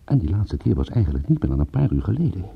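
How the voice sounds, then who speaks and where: Gravelly low-voiced world-weary private eye. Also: male voice, big pitch swings, heavily acted. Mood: tense, wry. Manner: wry, brooding, deliberate. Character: world-weary, self-mocking male private detective. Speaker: narrator and lead in a detective radio play. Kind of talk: hard-boiled first-person radio drama narration. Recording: tinny narrow-band radio play recording.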